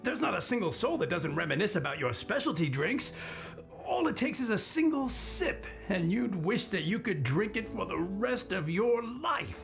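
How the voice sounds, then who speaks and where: Dramatic old barfly for an anime game, gravelly, wildly swinging pitch, opinionated and over-the-top. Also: male voice, sing-song, normal speed, soft background music, exaggerated voice. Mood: earnest praise. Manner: theatrical, exaggerated. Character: dramatic old tavern drinker, opinionated and effusive.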